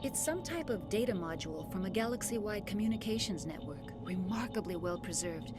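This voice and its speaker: A woman's voice: mid-range voice, lively intonation, a little fast, over loud music, natural voice. A woman playing a shaken, earnest female scientist. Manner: earnest, shaken, apologetic explaining. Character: shaken female lead scientist, middle-aged, protective.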